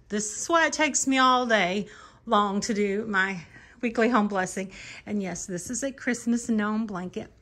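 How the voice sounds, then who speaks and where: A woman talking casually in a home vlog, rambling and correcting herself in a conversational way as she goes. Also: fairly high pitch. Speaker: home and cleaning vlogger. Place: rooms inside a house, bedroom and bathroom.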